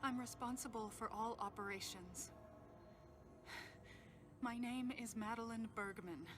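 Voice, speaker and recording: Game cutscene: actress as a guarded female scientist, measured explainer, heavy score underneath. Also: a little high, music in the background, close to an everyday voice. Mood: explaining. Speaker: facility head character. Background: loud game score.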